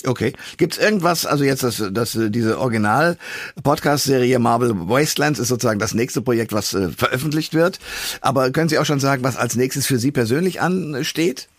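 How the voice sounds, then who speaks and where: A male radio host of average pitch interviews in a conversational style. His questions wander a little, and the sound is dry, with no room echo. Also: brisk.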